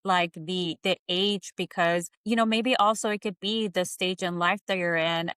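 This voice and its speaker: A woman's voice: fairly low pitch, fairly even intonation, normal speed, a bit bright, clean tone, dry recording. A woman in her thirties hosting a casual coaching interview in a conversational style. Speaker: podcast host and coach.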